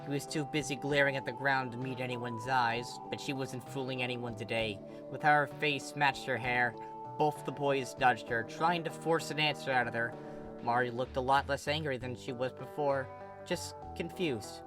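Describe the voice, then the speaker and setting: A woman reading fan fiction as scripted narration, in an expressive, dramatic manner. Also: a bass voice, moderate pace, music in the background. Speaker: story reader.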